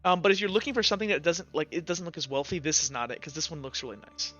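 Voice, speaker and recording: A man's voice: on the high side, very fast, pushed and bright, lo-fi sound. A man improvising tabletop game narration as game master in a casual, rambling way.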